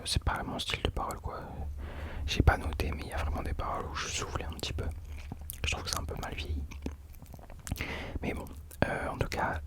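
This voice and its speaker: A man speaking in an unvoiced whisper, soft and informal, chatting as the host of an ASMR video into a close microphone. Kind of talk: whispered ASMR talk about rap.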